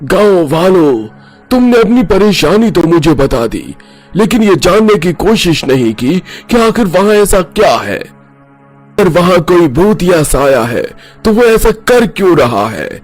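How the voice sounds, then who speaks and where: Man acting high-pitched stern village elder. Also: very animated, measured pace, darkish tone, faint music behind, exaggerated voice. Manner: declamatory, questioning. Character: stern, exasperated village man addressing a crowd.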